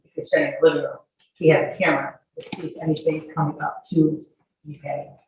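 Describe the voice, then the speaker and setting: A woman's voice: deep voice, little pitch movement, fast talker, a little mellow. Very echoey, distant female witness. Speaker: witness testifying in court. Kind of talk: informal testimony. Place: courtroom. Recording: echoey courtroom, distant mic, faint noise.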